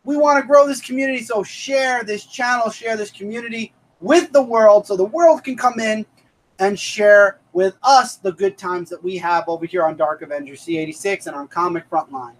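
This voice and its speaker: A man's voice: sits high, moderate pace, rough recording. A man in his thirties hosting a casual comic review live stream, upbeat and thanking viewers by name. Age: 30s.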